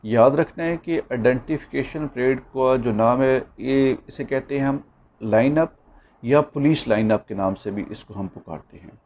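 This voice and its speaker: A man's voice: medium pitch, a little slow, darkish tone, a little hiss or hum. A man with a Pakistani accent giving an informal law lecture, instructively reminding students of points to remember.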